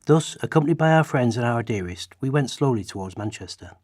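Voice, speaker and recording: Man acting husky, earnest historical radical. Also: mid-range voice, lively intonation, fairly quick, a little mellow, no echo, studio quality, close to an everyday voice. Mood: solemn recollection. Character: earnest male orators and writers of English radical history. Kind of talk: dramatised historical readings in radio play.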